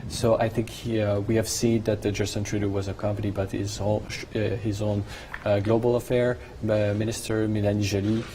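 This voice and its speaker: Low-voiced French Canadian man commenting live. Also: level tone, warm tone, rough recording. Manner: halting, searching for words. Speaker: studio commentator, French-language coverage host. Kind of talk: live analysis, conversational. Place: broadcast studio. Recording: clear background noise.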